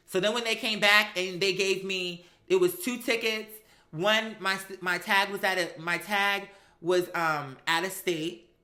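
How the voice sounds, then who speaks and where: A woman telling a personal story in an animated, sassy, emphatic way, with slangy, profane language and acted-out dialogue. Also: medium pitch, echoey room. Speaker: storyteller recounting a personal experience.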